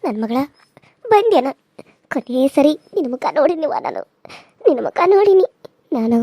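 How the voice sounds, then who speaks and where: A woman's voice: high voice, sing-song, moderate pace, mellow, dry recording, clean studio sound. A woman voicing the ghost of a dead older mother who tenderly laments and urges revenge. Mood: mournful.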